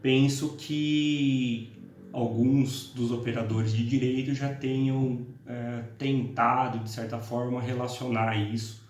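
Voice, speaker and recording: A man's voice: medium pitch, little pitch movement, takes it slowly, a little mellow, a little hiss or hum. A man from the interior of Paraná, Brazil, with a northern Paraná accent, giving an informal law lecture, hesitant with many fillers and self-corrections. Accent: northern Paraná interior Brazilian Portuguese. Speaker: law professor and course coordinator. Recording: echoey room, mic at a distance.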